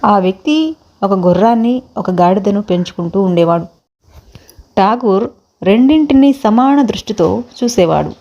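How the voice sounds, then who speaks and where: A woman's voice: medium pitch, animated, normal speed, warm tone, muffled recording. A woman narrating a scripted children's moral story as the storyteller.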